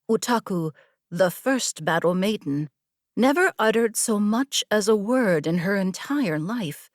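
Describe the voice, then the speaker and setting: A woman narrating scripted fiction with a measured, deliberate storytelling delivery. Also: fairly low pitch, dry recording, clean studio sound. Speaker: audiobook narrator.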